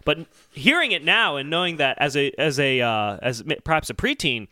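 A man hosting a podcast in casual comedic chat, animated, often searching for his phrasing. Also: fairly high pitch, moderate pace. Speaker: podcast host.

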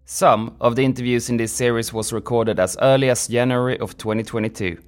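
A man's voice: medium pitch, fairly even intonation, fairly quick. A man hosting a podcast, introducing the show and interviewing a guest. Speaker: podcast host.

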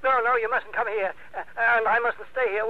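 A man's voice: sits high, steady tone, brisk. An actor playing an agitated, stammering man calling by telephone in a radio drama. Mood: panicky. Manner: stammering, breaking off. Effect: telephone filter. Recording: muffled telephone-filtered old radio audio.

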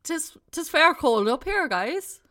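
A woman's voice: high-pitched, big pitch swings, takes it slowly, sharp tone, no room sound, studio quality, voice pushed up, exaggerated voice. A woman with an Irish accent voicing a hammy Irish male astronaut, laying the brogue on thick. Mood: jokey. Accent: exaggerated Irish. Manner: broad, jokey put-on brogue.

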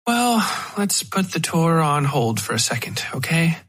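A man voicing a caring, professional woman in an audio horror story, speaking with measured, placating concern. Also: on the high side, big pitch swings, fairly bright tone, soft background music, pitched above the performer's own voice, heavily acted. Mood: concerned, clinical.

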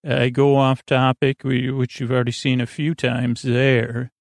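A man with a creaky voice hosting a bedtime sleep podcast, speaking in a soothing, meandering way with hesitant tangents and filler words. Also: mid-range voice, flat delivery, moderate pace, no echo, clean studio sound. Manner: soothing, lulling delivery. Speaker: podcast host.

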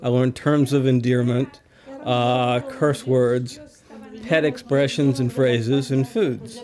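A man's voice: mid-range voice, fairly even intonation, slow pace, crowd noise. A man in his fifties from the United States, speaking plainly and self-deprecatingly in an interview. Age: 50s.

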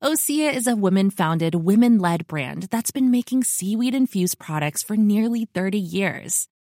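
A woman's voice: mid-range voice, lively intonation, normal speed, mellow, no echo. Woman reading a scripted ad, dry sound. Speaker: advertisement reader. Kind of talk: scripted ad read.

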